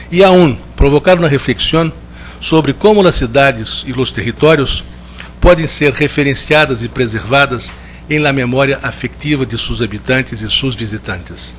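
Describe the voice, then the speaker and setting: A man from Brazil speaking with a strong Brazilian Portuguese accent, giving a measured, reflective conference talk and often restarting as he searches for words. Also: mid-range voice, fairly bright tone, a little hiss or hum. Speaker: guest lecturer. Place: lecture hall.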